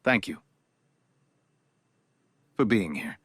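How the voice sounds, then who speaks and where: An actor playing a grieving, reserved man from a powerful family, giving a solemn eulogy in measured, halting phrases. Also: lowish voice, lively intonation, a bit bright, husky, dry recording, full character voice. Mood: solemn, reflective. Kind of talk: solemn eulogy in audio drama.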